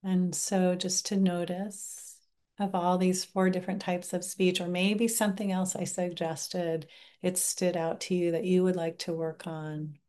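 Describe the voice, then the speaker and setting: A woman teaching a mindfulness class over a video call, leading a guided reflection in a gentle, unhurried way with frequent pauses. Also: on the low side, level tone. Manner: gentle, unhurried, pausing often.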